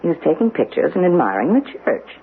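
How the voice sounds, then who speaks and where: Brisk, sharp-tongued niece. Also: female voice, deep voice, sing-song, creaky, exaggerated voice. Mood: brisk, wry. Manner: blunt, scolding. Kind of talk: old-fashioned radio drama acting. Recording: tinny noisy old radio broadcast.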